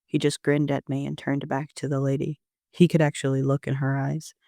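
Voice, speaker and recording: A man's voice: medium pitch, completely flat delivery, normal speed, creaky, mellow, dry recording, clean studio sound. A man reading scripted first-person story narration as an amateur author.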